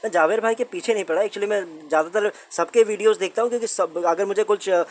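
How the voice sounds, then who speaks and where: Indian guy w/ a high voice doing casual commentary, repeats himself, keeps throwing questions at the listener. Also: fast talker. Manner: conversational, repeats points, puts rhetorical questions to listeners. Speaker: host of a commentary channel on a company's updates. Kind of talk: informal explainer commentary.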